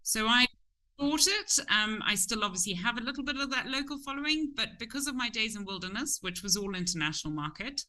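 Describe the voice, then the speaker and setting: A woman's voice: a little high, expressive, fairly quick, bright, forceful tone, low-quality recording. A middle-aged woman from Zimbabwe with a Zimbabwean accent, chatting openly as an interview guest. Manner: open and chatty, some restarts. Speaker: tour company owner, guest.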